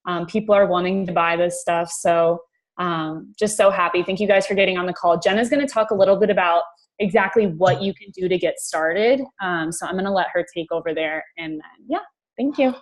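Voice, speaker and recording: A woman giving an informal, enthusiastic sales pitch as a team leader on a conference call. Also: brisk.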